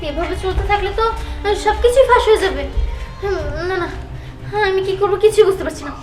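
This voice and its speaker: A woman acts a lovestruck young Bangladeshi daughter, coy yet defiant. The voice is extremely high and quick, in melodramatic short-film style, with music clearly behind it. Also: rough recording. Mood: anxious. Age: young adult. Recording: loud music behind dialogue.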